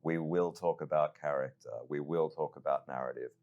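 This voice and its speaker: Middle-aged man, extremely deep voice, promotional showcase presenter, music behind. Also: steady tone, moderate pace, a little mellow, lo-fi sound. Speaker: executive presenting a games showcase. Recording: music under the voice.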